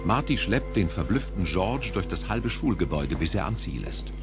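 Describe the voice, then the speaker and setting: A man's voice: low-pitched, big pitch swings, moderate pace. A man delivering scripted narration for an audio drama. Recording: music behind the voice.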